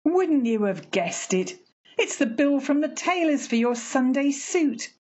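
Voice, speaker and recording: A woman playing a fussy, chatty working-class mother in a radio play, homely and expressive. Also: sits high, unhurried, lo-fi sound. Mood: curious, chatty.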